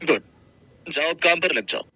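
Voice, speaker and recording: A man's voice: fairly high pitch, animated, normal speed, pushed and bright, slightly rough, no room sound, full character voice. A man playing a friendly young restaurant manager in a Hindi cartoon story, explaining things in a casual, businesslike way. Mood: brisk, friendly.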